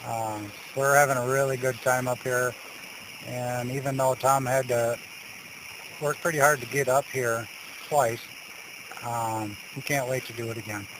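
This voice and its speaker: A man's voice: mid-range voice, fairly even intonation, measured pace. An American man in casual radio chat as an amateur radio operator, talking outdoors. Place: outdoors at a hilltop campsite. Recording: noisy outdoor camcorder audio.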